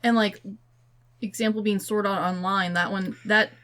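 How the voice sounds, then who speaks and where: A woman's voice: mid-range voice, steady tone, normal speed, fairly bright tone. A woman hosting a podcast in a casual, slangy and opinionated conversational way. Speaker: podcast host.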